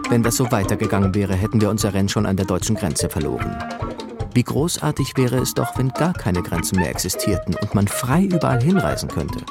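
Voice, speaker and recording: An actor playing a loyal, wry valet who both speaks and narrates in a radio play. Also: male voice, on the low side, very animated, a little fast, gravelly, loud music behind the voice. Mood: narrating, reflective. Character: wry, loyal male valet telling the journey. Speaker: servant and narrator. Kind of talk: radio drama acting and narration.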